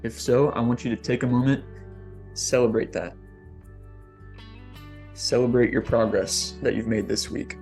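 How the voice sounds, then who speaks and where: A man, a fitness coach, leading a guest's guided meditation on a podcast, slow and deliberate. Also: medium pitch, steady tone, darkish tone, soft background music.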